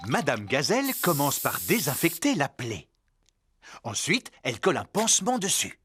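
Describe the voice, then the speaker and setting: A man narrating a preschool cartoon as a calm storyteller explaining things to small children. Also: a little high, moderate pace, soft background music. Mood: explanatory.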